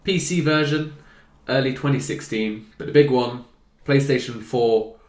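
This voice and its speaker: A man's voice: medium pitch, a little slow. A hungover man in his twenties hosting a casual gaming news chat, jokey and teasing with his co-hosts. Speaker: host. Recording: roomy echo.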